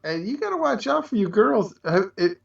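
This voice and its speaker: A man's voice: high-pitched, big pitch swings, moderate pace, vocal fry, distant mic. A man co-hosting a casual talk show, agreeable and colloquial in his reactions, on slightly dull lo-fi audio.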